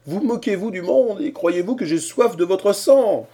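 A man voicing a calm, courteous man from Brittany who speaks politely and evenly. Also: high-pitched, measured pace, voice pushed up. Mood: ironic. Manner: polite and composed.

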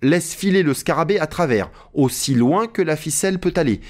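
A man voicing an agitated, imperious gentleman treasure hunter who calls out orders in a storybook. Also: on the high side, lively intonation, moderate pace, voice pushed up, close to an everyday voice. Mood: eager, insistent.

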